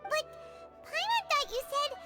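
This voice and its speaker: A woman voicing a tiny, childlike, excitable fairy companion in a video game. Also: very high-pitched, unhurried. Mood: surprised, questioning. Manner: excitable, childlike, speaks of herself by name. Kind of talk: video game character dialogue. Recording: game dialogue with loud music behind.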